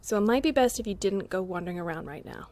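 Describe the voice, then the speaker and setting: A woman's voice: mid-range voice, lively intonation, fast, mellow, lightly acted. A woman playing a considerate young medic in an audio drama, casual and reassuring with some hesitation. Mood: casual, apologetic. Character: busy, considerate young medic in a survivor camp. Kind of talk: amateur audio drama acting.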